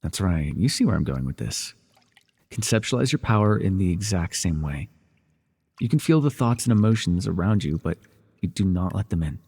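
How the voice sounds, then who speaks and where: Breathy, low-voiced teasing villain mentor played by a man. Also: big pitch swings, normal speed, heavily acted. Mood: patient, instructing. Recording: reverberant indoor pool echo.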